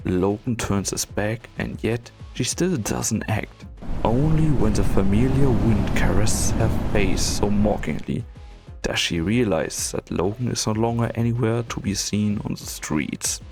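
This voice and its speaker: A man playing a composed, serious tactician doctor, with measured, earnest delivery in a scripted fan drama. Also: on the low side, darkish tone, faint music behind. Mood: solemn narration. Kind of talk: scripted drama narration and dialogue.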